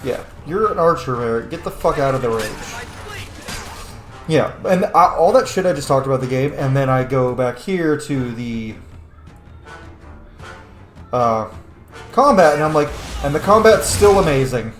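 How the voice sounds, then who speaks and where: A man's voice: medium pitch, animated, measured pace, long pauses. Casual man commentating gameplay, echoey room. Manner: casual, heavy swearing. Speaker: gaming streamer. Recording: roomy echo, game music behind. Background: video game music.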